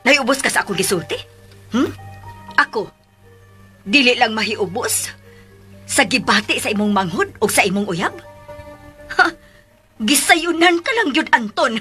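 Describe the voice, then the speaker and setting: A woman's voice: a little high, lively intonation, unhurried, pauses often, pushed and bright, soft background music. A woman playing an exasperated middle-aged mother who scolds and nags her grown sons emphatically in a radio drama. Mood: angry. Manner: emphatic, nagging, sighing interjections.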